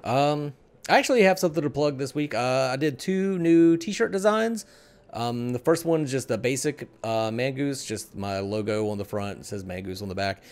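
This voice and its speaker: A man's voice: mid-range voice, lively intonation, normal speed, a little mellow, clean tone. A middle-aged man hosts a casual show with a mid-range voice that moves up and down a lot. His talk is loose and conversational, with a joking, teasing streak. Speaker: podcast host. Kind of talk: casual chat, plugs and banter. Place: small room at home, indoors. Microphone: desk mic on boom arm, headset.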